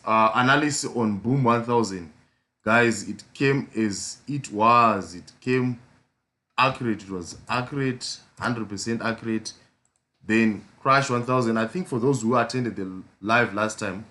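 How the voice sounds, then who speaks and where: Hoarse man hosting a trading stream. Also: on the low side, pushed and bright. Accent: South African. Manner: repetitive pleading, frequent fillers. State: hoarse from flu. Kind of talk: casual live stream talk.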